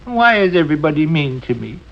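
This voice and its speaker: Jokey pompous american dad in old radio comedy, highish voice, muffled vintage sound. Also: male voice, sing-song, brisk, gravelly. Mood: mock indignant. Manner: florid, mock-pompous, teasing. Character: playful, mock-indignant middle-aged husband and father, theatrically jolly. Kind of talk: 1940s radio comedy acting. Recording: dull old radio broadcast recording.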